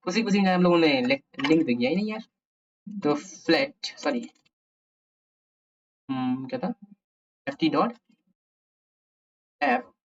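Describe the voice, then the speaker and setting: A man's voice: on the high side, very animated, a little slow, creaky, long pauses. Dull-sounding Indian man thinking aloud. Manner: informal Hindi-English mixing, thinking aloud. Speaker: programming tutorial host. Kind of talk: casual screen-share coding tutorial.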